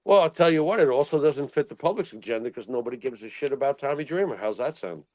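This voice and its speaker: A man's voice: mid-range voice, expressive, fast, a little mellow, no echo. A middle-aged man co-hosting a casual talk show, blunt and exasperated, swearing and firing off rhetorical questions. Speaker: wrestling talk show co-host. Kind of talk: casual opinionated panel chat.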